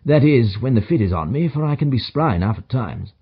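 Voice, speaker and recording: A man's voice: mid-range voice, expressive, fairly quick, slightly rough, muffled recording. A man voicing a self-assured, superior detective in an audiobook, with emphatic, clipped pronouncements and dry condescension. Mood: languid, dismissive. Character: brisk, self-assured detective, languid yet energetic and superior. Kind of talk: audiobook character dialogue.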